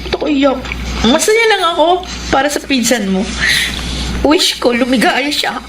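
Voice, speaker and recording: A woman's voice: high voice, animated, moderate pace, roomy sound, noisy background. An actress playing a lovesick young Filipino woman hiding her hurt in a radio romance drama. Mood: hurt, wistful.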